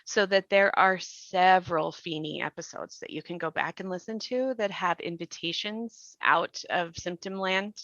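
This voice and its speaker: A woman talking earnestly and deliberately in a reflective podcast discussion, offering supportive advice. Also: fairly low pitch, a bit bright, dry recording, highs cut off. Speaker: podcast panelist and creator.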